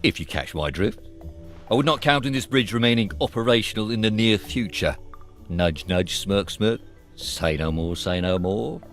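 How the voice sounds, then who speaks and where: Low-voiced, sly British constable. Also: male voice, expressive, normal speed, fairly bright tone, faint music behind. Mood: sly officious. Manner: polite, clipped, knowing. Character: officious, sly, insinuating police constable man. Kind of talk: video game cutscene acting.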